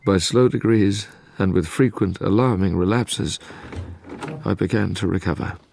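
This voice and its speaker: An actor playing a haunted young gentleman scientist, narrating his past gravely in an audio drama. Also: deep voice, a little hiss or hum. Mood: sombre recollection. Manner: grave, literary, measured.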